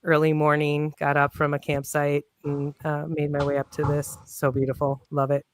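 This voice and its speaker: A man's voice: on the high side, completely flat delivery, moderate pace. A man speaking as a guest on a casual video-call panel, rambling and upbeat with plenty of fillers. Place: home room on video call.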